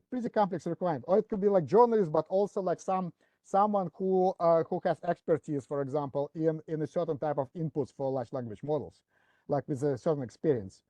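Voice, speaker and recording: A man talks through a business process on a webinar, circling back with constant fillers and false starts. His voice sits a bit high and sounds mellow. The call audio is dry and muffled. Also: no echo. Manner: hesitant, many fillers and restarts. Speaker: webinar speaker. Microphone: video call.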